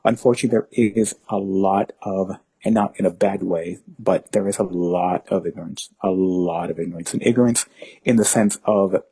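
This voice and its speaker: Low dark-voiced man in his fifties. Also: steady tone, normal speed, husky, no echo. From New York, family from Honduras. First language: Spanish. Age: 50s. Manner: rambling, many fillers and restarts. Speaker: guest. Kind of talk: casual interview conversation.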